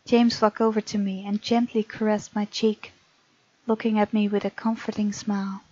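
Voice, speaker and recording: A woman gamer reading visual novel narration aloud in an expressive, informal way, with casual commentary of her own. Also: mid-range voice, normal speed, no echo. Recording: muffled mic, game music behind. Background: game music.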